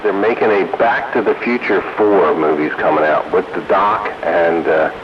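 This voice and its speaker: A man's voice: low voice, moderate pace. A man chatting casually as an operator over CB radio. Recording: noisy, muffled CB radio transmission.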